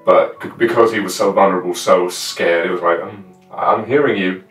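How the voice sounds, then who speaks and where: Low-voiced young man chatting, roomy sound. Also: fairly even intonation, normal speed, fairly bright tone. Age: 20s. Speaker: guest, fellow live roleplayer. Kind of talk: casual chat, recounting a scene. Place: small room at home with costumes. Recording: roomy echo, distant microphone, music underneath.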